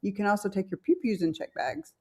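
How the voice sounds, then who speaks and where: A woman's voice: mid-range voice, sing-song, fast, darkish tone. Woman host for a casual live chat; reads viewer comments aloud, chatty and easy laughing.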